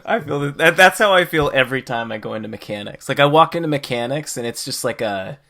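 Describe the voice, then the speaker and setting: A man's voice: mid-range voice, animated, fast, gravelly, pushed and bright. A man co-hosting a podcast, explaining things in a casual, conversational way. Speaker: podcast co-host.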